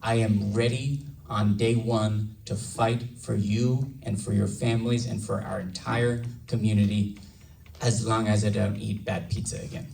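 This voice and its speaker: A hoarse, slightly raspy man giving an earnest campaign speech at a candidate forum. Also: on the low side, fairly even intonation, unhurried, a little mellow, distant mic, slight background noise, rough recording. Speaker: congressional candidate. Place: large event hall.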